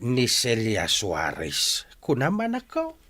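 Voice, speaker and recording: A man acting an older father, halting and tender toward his son, in an Ilocano radio drama. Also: medium pitch, huge pitch swings, bright, forceful tone, heavily acted. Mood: startled, hesitant.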